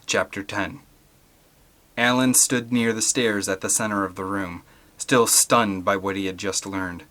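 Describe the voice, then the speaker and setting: A man with a fairly low, bright and forceful voice narrates an adventure novel. He reads as a dramatic audiobook storyteller, from a prepared script. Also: steady tone, measured pace. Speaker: audiobook reader.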